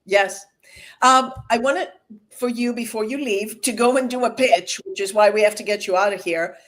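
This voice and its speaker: A woman's voice: on the high side, moderate pace. A woman hosting an interview as a communication coach, emphatic and enthusiastic.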